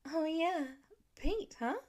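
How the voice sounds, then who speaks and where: A woman's voice: sits high, lively intonation, takes it slowly, a little mellow, pitched above the performer's own voice. A woman voicing an anxious, skeptical teenage big sister in an audiobook. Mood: indulgent. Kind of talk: audiobook character voice in fan fiction.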